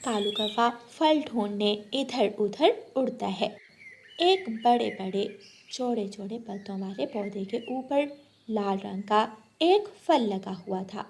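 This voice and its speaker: A woman's voice: medium pitch, takes it slowly, a little mellow, a little hiss or hum. A woman delivering scripted narration for a children's story.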